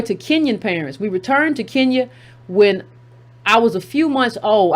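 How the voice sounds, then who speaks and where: Emphatic woman reading aloud, fairly high voice. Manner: emphatic, repeats key phrases for effect. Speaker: commentary channel host. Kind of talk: reading a text aloud with running commentary.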